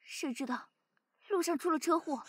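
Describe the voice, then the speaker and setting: Woman acting a very high-pitched, anxious messenger. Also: moderate pace, background noise, natural voice. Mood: reproachful. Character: anxious young acquaintance bringing urgent bad news. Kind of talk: Chinese audio drama acting.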